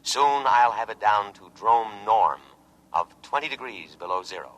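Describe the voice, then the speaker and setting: A man's voice: lowish voice, expressive, moderate pace, a bit bright, highs cut off. A man in a 1950s radio drama playing a dutiful, solicitous military lifeboat machine that speaks formally and precisely. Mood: solicitous, courteous. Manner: formal, precise, patriotic, unyielding. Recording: vintage radio recording.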